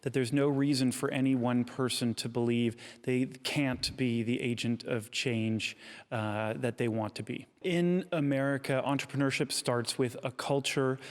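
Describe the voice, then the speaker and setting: A man speaking deliberately in an interview, with occasional fillers. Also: mid-range voice, steady tone. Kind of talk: interview talk. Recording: long reverb.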